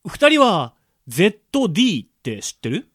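A man playing a friendly, chatty, excitable train hand strap in a comic radio drama. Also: high voice, moderate pace, husky, dry recording, studio quality, full character voice. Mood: eager, gossipy.

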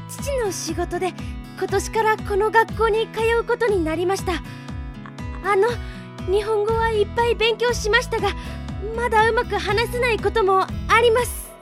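A woman playing a shy, polite transfer student girl who speaks haltingly with slightly off phrasing, in a radio drama. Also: extremely high pitch, music in the background.

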